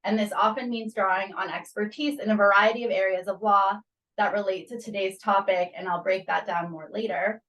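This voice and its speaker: A woman lawyer introduces herself in a clear, measured, professional way. Her voice sounds set back from the microphone, as on a video call. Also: medium pitch, steady tone, a bit bright. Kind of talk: prepared self-introduction. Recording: distant call audio.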